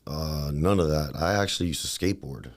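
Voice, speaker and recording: A man's voice: extremely low pitch, fairly quick. A man from Miami, a fitness trainer, chatting loosely as a podcast guest with lots of fillers and restarts. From Miami, Florida, USA. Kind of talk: casual interview talk.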